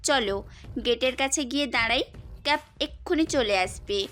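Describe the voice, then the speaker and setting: Woman acting a high-voiced caring lady teacher. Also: moderate pace. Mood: insistent, kind. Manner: concerned, gently bossy. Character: caring, insistent young female university teacher. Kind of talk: Bengali audio story acting. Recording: music bed under the voice.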